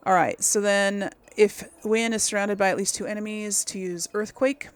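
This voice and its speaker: A woman's voice: medium pitch, fairly even intonation, normal speed, clear, resonant tone. A woman giving casual gameplay commentary as the host of her series, informal and conversational, thinking aloud as she goes. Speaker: video game streamer, host of a role-play series.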